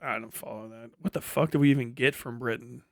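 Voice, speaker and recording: A man's voice: medium pitch, steady tone, fairly quick, dry recording, clean studio sound. An American man streaming live, chatting casually with his audience in a blunt, profane, teasing way. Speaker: live streamer and host reading chat. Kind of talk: casual livestream chat with joking rants.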